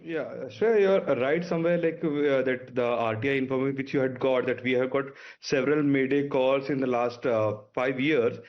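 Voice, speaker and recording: A man's voice: medium pitch, steady tone, normal speed, hardly any pauses, lo-fi sound. A man with an Indian accent giving unscripted expert commentary on a news panel in a hesitant, loosely phrased way.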